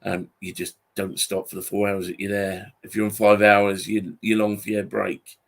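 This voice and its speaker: A British man in his forties with a husky, fairly flat delivery. He rambles casually in a grumbling, weary way, often stopping and repeating himself as he goes. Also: lowish voice. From Britain. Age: 40s. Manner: halting, repetitive, grumbling. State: tired. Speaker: YouTube channel host. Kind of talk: casual unscripted monologue.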